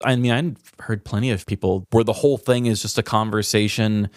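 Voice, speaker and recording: A man's voice: fairly low pitch, fairly even intonation, brisk, dry recording, clean studio sound. A man co-hosting a podcast in casual chat, informal and self-deprecating, swearing freely. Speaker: podcast co-host.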